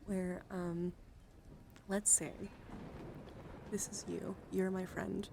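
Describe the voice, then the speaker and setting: A woman hosting an ASMR livestream, chatting casually and gently to viewers in a soft, breathy voice that often drops to a whisper, affectionate and attentive. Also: fairly low pitch, little pitch movement, takes it slowly, noisy background. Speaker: livestream host.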